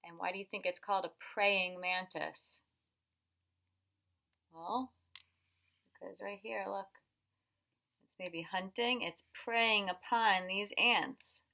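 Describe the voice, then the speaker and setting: A woman teacher reading a picture book aloud to young children, expressive and instructive, stretching words for emphasis and pausing to ask questions. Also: mid-range voice, creaky.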